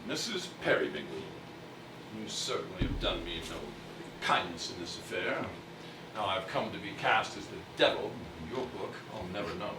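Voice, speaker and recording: A man's voice: on the low side, big pitch swings, noisy background. Man acting a proud, wordy toy merchant on stage. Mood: haughty, wry. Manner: formal, wordy, halting. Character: gruff, proud toy merchant turning sheepishly soft-hearted. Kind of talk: theatrical stage musical acting. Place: theatre stage, distant microphone. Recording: echoey stage recording from far off, music behind.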